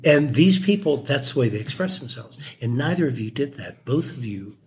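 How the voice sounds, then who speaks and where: A man in his seventies giving opinions in a measured way during a family chat. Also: medium pitch, lively intonation. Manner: measured, opinionated, with hesitant restarts. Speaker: guest, podcast hosts' father. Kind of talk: casual family conversation.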